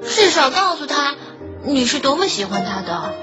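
A woman's voice: sits high, big pitch swings, normal speed. A woman playing a sweet teenage schoolgirl in an audio drama. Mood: apologetic. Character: teenage schoolgirl Xiaomei, sweet best friend. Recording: dull lo-fi sound with loud music.

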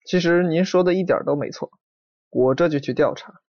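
An actor playing a courteous, businesslike assistant detective in a radio drama, earnest and deferential. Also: male voice, a little high, fairly quick, a little mellow, dry recording, highs cut off, natural voice. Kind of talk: broadcast drama acting.

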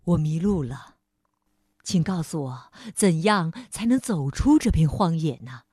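A woman voicing a lost king in a children's story, a polite, earnest father who speaks in a courteous, measured way. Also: low voice, lively intonation, warm tone, husky, dry recording, clean studio sound, pitched below the performer's own voice, full character voice. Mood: worried, polite.

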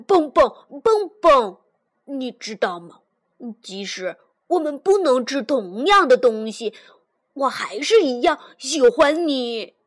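A greedy, eager young frog boy calls out loudly and keenly, voiced by a woman reading aloud. His voice is lively and breathy, and it swoops up and down as he speaks. It is slow and a little high, like a storybook figure read at bedtime. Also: heavily acted. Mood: calling.

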